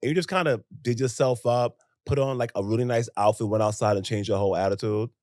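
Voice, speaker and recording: A young American man co-hosting a podcast in casual chat, talking in an animated, emphatic way with rhetorical questions. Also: mid-range voice, quick pace, dry recording, clean studio sound. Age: young adult. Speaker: podcast co-host.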